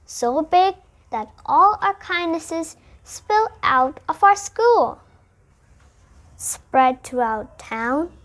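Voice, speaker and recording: A high, childlike voice reads a picture book aloud. The child goes slowly and deliberately through the story. Sentences come in short, separate phrases. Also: big pitch swings, a bit bright. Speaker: storybook reader.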